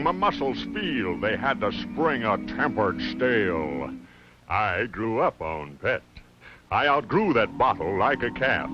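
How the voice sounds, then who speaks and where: Man playing a boastful cowboy on muffled old radio. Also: medium pitch. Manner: boastful, folksy. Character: rough, tough, boastful cowboy, Pet Milk Pete. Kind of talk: rhyming radio advertisement.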